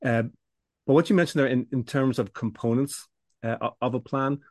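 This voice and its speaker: Middle-aged male coach as podcast guest, steady mid pitch, dry close sound, measured explaining. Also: no echo. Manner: measured, explanatory, with frequent restarts. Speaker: business coach for law firms.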